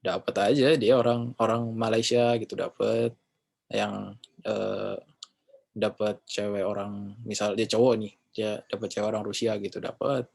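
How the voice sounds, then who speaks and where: Rambling, chatty lower-voiced man. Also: level tone, a little slow, vocal fry, a little mellow. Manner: rambling, self-correcting, chatty fillers. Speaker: English class speaker. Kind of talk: casual online class talk.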